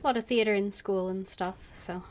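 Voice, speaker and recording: Halting, casual woman streaming a game. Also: mid-range voice, steady tone, a little hiss or hum. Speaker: gaming streamer. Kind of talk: casual live game stream commentary, in-character asides.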